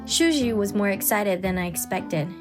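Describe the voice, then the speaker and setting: A woman's voice: mid-range voice, lively intonation, moderate pace. A woman reading scripted first-person story narration as a put-upon wife, with music underneath. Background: background music.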